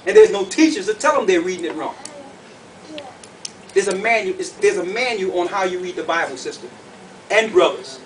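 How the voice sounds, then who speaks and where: A man preaching to a group in an animated, colloquial way, emphatic and full of rhetorical questions. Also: high voice, moderate pace, pauses often, distant mic. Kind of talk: animated sermon with questions to the audience. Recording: noisy room, picked up from afar.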